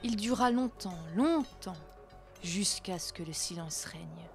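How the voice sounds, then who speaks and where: A woman's voice: mid-range voice, lively intonation, takes it slowly, a little mellow, soft background music. A woman hosting a storytelling show, narrating scripted folk legends in a theatrical, literary manner. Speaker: host and storyteller.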